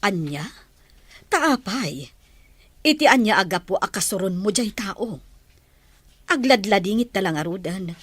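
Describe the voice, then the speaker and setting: A woman with an Ilocano accent playing a questioning, reproachful woman of the household in a radio drama, with a husky voice. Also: medium pitch, animated, unhurried, long pauses, fairly bright tone, no room sound.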